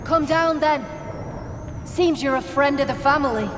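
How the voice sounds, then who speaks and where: A hostile bandit woman in a fantasy game. She is high-pitched, brusque and menacing, ringing through a long cavern reverb. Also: unhurried, background noise. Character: hostile, threatening female bandit guard.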